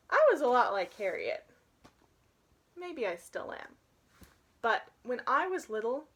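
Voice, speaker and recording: A young woman reads a picture book to children with chatty asides. Her voice is fairly high and very expressive, and she takes an unhurried pace. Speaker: storybook reader.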